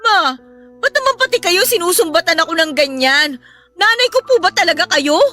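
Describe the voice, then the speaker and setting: A woman's voice: very high voice, lively intonation, a little fast, bright, forceful tone, heavily acted. A woman playing a hurt, pleading young housemaid daughter in a Filipino radio drama, respectful but aggrieved. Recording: music behind the voice.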